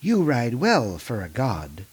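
A man voicing a cool, vengeful female dark elf witch warrior from a comic book, dry and curt. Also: mid-range voice, wildly swooping pitch, slow pace, husky, full character voice.

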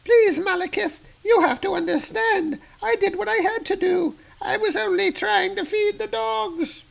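A man voicing a scheming old widow who sells hunting dogs, with a high, grovelling, wheedling voice. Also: expressive, moderate pace, gravelly, fairly bright tone, full character voice, rough recording. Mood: frightened, pleading.

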